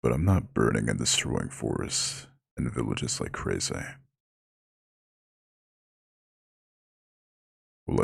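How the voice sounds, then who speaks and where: A man voicing an ancient eldritch god in an ASMR roleplay, proud and lordly yet tender, with a very deep, husky, gravelly growl. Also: dry recording, clean studio sound, heavily acted. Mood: proud, indignant. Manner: lordly, formal, slow comforting address. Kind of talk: scripted ASMR roleplay monologue.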